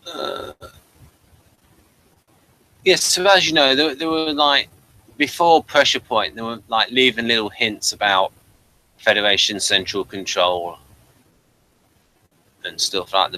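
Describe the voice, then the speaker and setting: Bright-voiced man, halting review chat. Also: lowish voice, poor audio. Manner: hesitant, halting, repeats words. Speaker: host of a review show. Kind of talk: casual audio drama review chat.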